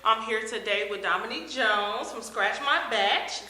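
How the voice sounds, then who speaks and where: A bright-voiced woman hosts a casual interview. She sounds upbeat, laughs easily and fills her pauses with "um" and "uh." The room adds a noticeable echo. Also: fairly high pitch. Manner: upbeat, laughs often, many fillers.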